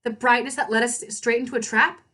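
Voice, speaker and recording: A woman's voice: fairly high pitch, steady tone, a little fast, bright, forceful tone, recorded from a distance, pitched above the performer's own voice. A woman voicing a bossy, indignant teenage girl adventurer in a storybook, emphatic and sarcastic. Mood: sharp, sarcastic.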